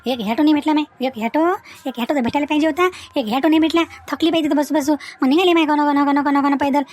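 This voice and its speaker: A woman plays a chatty village matchmaker aunt in a comedy cartoon. Her voice is high and quick. She pushes her point with homely, repetitive insistence. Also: steady tone, a little mellow, lightly acted. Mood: weary complaining. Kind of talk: Marathi comedy cartoon dialogue.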